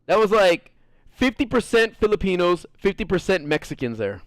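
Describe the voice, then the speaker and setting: Animated American man, high and lively. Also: moderate pace, no room sound. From United States. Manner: animated, profane, laughs often. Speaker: gaming streamer. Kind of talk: casual chat while gaming.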